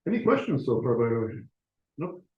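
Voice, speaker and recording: A man's voice: medium pitch, very animated, fairly quick. A man giving an informal, conversational talk as a guest speaker to an audience, with a self-deprecating touch. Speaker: author and guest speaker presenting to a club. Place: meeting room, talk to a live audience. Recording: echoey room, slightly muffled audio.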